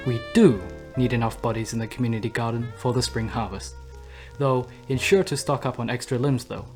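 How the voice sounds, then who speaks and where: A man's voice: mid-range voice, fairly even intonation, a little fast, darkish tone, close to an everyday voice. A man playing a smug, theatrical demon who hosts a news broadcast in hell, speaking with suave, sardonic mock politeness over music. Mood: breezy, sardonic. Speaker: radio news host. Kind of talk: scripted comedic radio broadcast. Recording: music under the voice.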